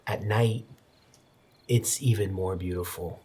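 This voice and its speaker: A game master narrating a tabletop roleplaying game in an improvised, descriptive, halting way. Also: low voice, steady tone, dark tone, slightly rough. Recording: music behind the voice. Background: background music.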